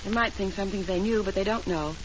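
Casting: woman playing coquettish, menacing young femme fatale, medium-pitched, self-assured, old radio drama with slight noise. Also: quick pace, natural voice. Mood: breezy, coy. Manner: self-assured, teasing, cold. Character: cool, scheming young widow, coquettish and menacing. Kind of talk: 1940s radio melodrama acting. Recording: noisy old radio transcription.

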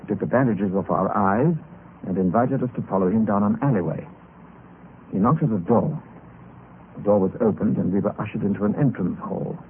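A man acting a bored, cool gentleman adventurer who recounts his tale in a suave, formal, old-time radio style. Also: on the low side, brisk, natural voice. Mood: grave. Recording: noisy, tinny old radio recording.

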